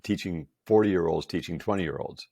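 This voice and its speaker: A man's voice: low-pitched, moderate pace, vocal fry, warm tone. A man in his sixties, a podcast guest, talking with enthusiasm and frequent emphasis. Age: 60s. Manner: enthusiastic, emphatic, laughs often. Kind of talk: casual chat.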